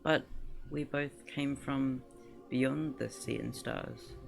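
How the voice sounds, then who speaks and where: Young traveler in a video game, earnest, bit gravelly, faint music. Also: male voice, mid-range voice, steady tone, takes it slowly. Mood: shaken, urgent. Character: earnest young male traveler searching for his sister.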